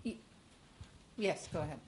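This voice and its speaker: A woman moderating a panel, who starts her questions in a hesitant, halting way. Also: darkish tone.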